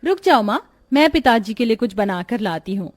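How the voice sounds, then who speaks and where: Woman as high-voiced earnest village girl. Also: lively intonation, moderate pace, no echo. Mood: eager. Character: earnest, sweet poor village girl devoted to her kitchen. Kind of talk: Hindi cartoon dialogue.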